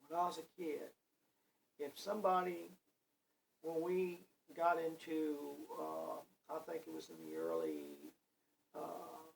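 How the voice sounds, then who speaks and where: Brief: older male guitar teacher, very gravelly, folksy and rambling, a little off-mic with faint noise. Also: on the high side. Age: 60s. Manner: rambling, folksy, with many fillers. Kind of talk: casual spoken guitar lesson. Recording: voice a bit far from the mic, faint background noise.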